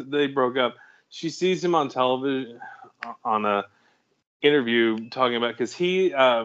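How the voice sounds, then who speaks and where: A man's voice: mid-range voice, a bit bright, highs cut off. A man co-hosting a podcast, chatting casually about a film, rambling and restarting his sentences and chuckling at his own jokes. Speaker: podcast co-host.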